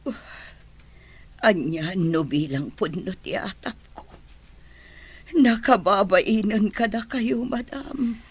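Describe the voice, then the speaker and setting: A woman playing a breathy, slow-spoken maid. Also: mid-range voice, animated. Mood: deferential. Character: deferential household maid. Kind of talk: Ilocano audio drama.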